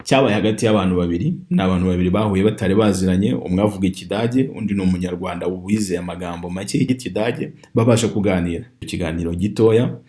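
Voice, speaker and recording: Man from rwanda, native kinyarwanda speaker, low voice, mellow tone, patient teacher. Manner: reads phrases slowly and repeats them for learners. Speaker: language teacher. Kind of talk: online language lesson.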